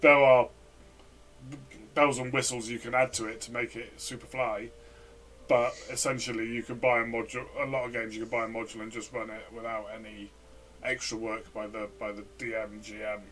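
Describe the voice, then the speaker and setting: Mid-pitched man, casual review co-host. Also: little pitch movement, fairly quick, a bit bright.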